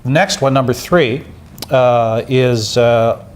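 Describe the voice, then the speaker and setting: A man's voice: medium pitch, slow pace, clean tone. A man hosting a casual live chat, talking in a rambling way with many fillers and restarts. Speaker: host.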